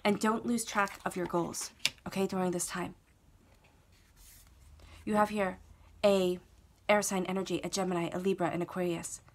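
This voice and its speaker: A woman with a cold, sounding stuffed up, reading tarot cards alone in a steady, reassuring way, often repeating phrases and checking in with "okay?". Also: on the low side, normal speed. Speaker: tarot reader. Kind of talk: solo tarot reading to camera.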